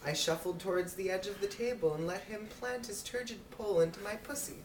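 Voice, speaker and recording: Giggly lady reading a story out loud, kinda low voice, far from the mic, noisy roomy recording. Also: brisk. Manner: giggly, breaking into laughs while reading. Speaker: amateur reader. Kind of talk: reading an erotic story aloud. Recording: roomy, distant mic with clear noise.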